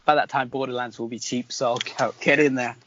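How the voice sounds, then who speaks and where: A man's voice: mid-range voice, steady tone, brisk, fairly bright tone. A man co-hosting a podcast and chatting casually about games. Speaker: podcast co-host. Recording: dull lo-fi sound with faint noise.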